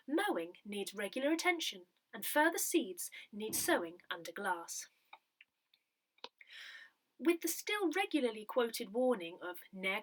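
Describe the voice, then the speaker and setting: A woman reading a scripted community newsletter aloud, with careful, clearly phrased delivery. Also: a little high, fairly bright tone. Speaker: newsletter reader.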